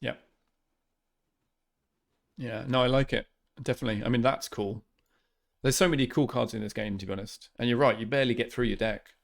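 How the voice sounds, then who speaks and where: A man's voice: mid-range voice, fairly quick, vocal fry, pauses often. A man hosting a casual, friendly chat about a board game he just played. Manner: relaxed, friendly, casual slang. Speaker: channel host.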